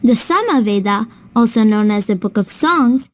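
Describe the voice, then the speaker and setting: Woman as cartoon older sister, higher-pitched, kindly, patient, knowledgeable, scripted educational storytelling. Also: animated, moderate pace, lightly acted. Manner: patient, clear explaining.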